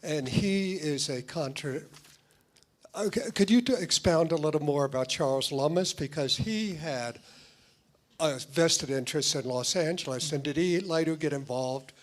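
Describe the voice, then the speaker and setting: Hesitant man asking from the audience. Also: fairly high pitch. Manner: tentative, halting. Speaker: audience member. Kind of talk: asking a question.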